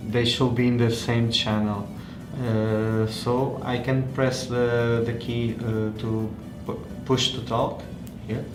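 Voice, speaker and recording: Halting non-native man, flat and echoey. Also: mid-range voice. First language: not English. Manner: halting, searching for words. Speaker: tech reviewer. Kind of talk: unscripted hands-on product demonstration. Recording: echoey room with music behind.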